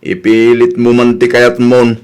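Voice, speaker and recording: A man's voice: medium pitch, completely flat delivery, a little slow, a little mellow, clean tone, natural voice. A man playing a sceptical, grumbling husband in an Ilocano comedy radio drama, speaking with an Ilocano Filipino accent. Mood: doubtful, resigned.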